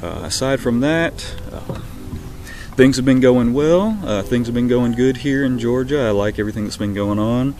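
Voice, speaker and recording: A man's voice: mid-range voice, moderate pace. Laid-back Southern man in a backyard. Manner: relaxed, many ums and uhs. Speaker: vlogger. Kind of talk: casual direct-to-camera update. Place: backyard outdoors. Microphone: webcam at arm's length.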